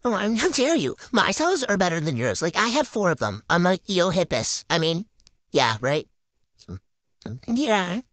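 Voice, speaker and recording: A woman's voice: fairly low pitch, very animated, moderate pace. A woman voicing characters in a game video with playful improvised banter, giggly and boastful, full of teen slang. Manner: giggly, silly, bragging, talks in teen slang.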